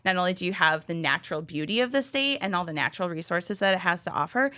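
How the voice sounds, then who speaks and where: A woman's voice: on the low side, lively intonation, fast talker, sharp tone, clear, resonant tone. A woman in her thirties, a guest on a talk show, chatting casually and laughing easily about her work in the arts. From Western Massachusetts, raised in Michigan. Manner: chatty, laughs often, self-deprecating. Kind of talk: casual interview chat.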